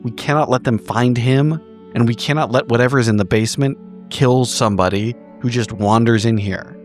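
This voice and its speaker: A man with a mid-pitched voice improvises a roleplay scene. He speaks in halting, repeated bursts peppered with profanity, and music plays clearly underneath. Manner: halting, repetitive, profanity-laced speech. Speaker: tabletop roleplay podcast player.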